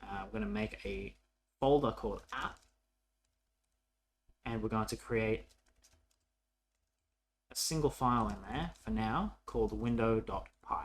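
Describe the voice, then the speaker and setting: A man's voice: medium pitch, animated, a little slow, gravelly, long pauses, slight background noise. A man narrating a casual coding tutorial as an instructor, informal and thinking aloud as he works.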